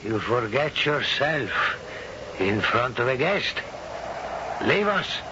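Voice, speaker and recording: A man's voice: medium pitch, sing-song, slow. An actor playing a proud, middle-aged Spanish father in a 1970s radio drama, formal and courtly, with a Spanish accent. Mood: stern. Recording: muffled old radio broadcast, background noise.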